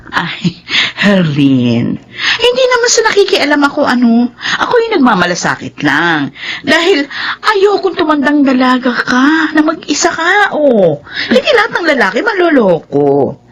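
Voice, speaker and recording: An actress playing a playful, meddling, caring Filipino aunt with a husky voice and animated delivery in a radio drama. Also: female voice, high-pitched, unhurried, heavily acted. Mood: coaxing, concerned. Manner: animated, teasing and coaxing.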